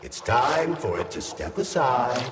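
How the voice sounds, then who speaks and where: An actor playing a cold, contemptuous, megalomaniac villain who is no longer human, speechifying grandly in a video game cutscene. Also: male voice, medium pitch, big pitch swings, unhurried. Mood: grandiose. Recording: echoey hall reverb under loud music.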